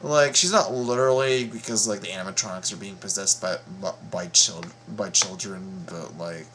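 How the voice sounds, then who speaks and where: A man giving casual reaction commentary, explaining things in a halting, repetitive way. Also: low voice, fairly bright tone, slight background noise. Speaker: reaction video host.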